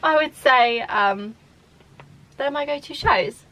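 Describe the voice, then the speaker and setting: A woman's voice: high voice, slow, a bit bright, low-quality recording. A woman in her twenties from the UK, chatting casually to camera in a Q&A vlog, rambling with lots of fillers and restarts. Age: 20s. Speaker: vlogger.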